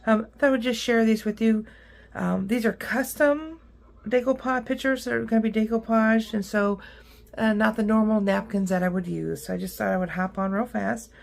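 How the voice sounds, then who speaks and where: A woman with a rough, hoarse voice hosting a casual craft how-to, chatty and upbeat with frequent ums and uhs as she works at her craft table. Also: on the high side, normal speed. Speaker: craft tutorial host.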